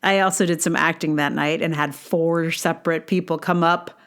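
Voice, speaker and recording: A woman hosting a podcast, reading her own personal essay aloud in a measured, deliberate way with casual asides. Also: low voice, fairly even intonation, fairly bright tone. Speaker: podcast host and writer.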